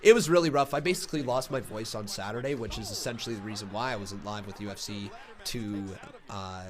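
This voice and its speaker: Stuffy-nosed male stream host, casual chat mixed with excited ringside calls. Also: lowish voice, fairly quick. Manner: chatty, thinks aloud, bursts into excited play-by-play. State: congested from a cold. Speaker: live stream reaction host. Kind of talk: casual live reaction chat and commentary.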